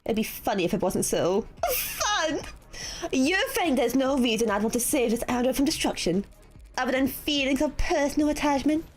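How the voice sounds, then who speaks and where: Here is a brash, cocky defense attorney, as a woman voices her for a game, pitched high and swooping all over. She argues with theatrical swagger, and faint music plays behind her. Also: big pitch swings, normal speed. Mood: smug. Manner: theatrical, cocky courtroom delivery. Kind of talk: fan voice acting of a game.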